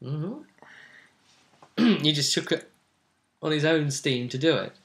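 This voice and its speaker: A man retelling a tabletop roleplay session as game master, in animated, emphatic conversational storytelling. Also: on the high side, slow pace.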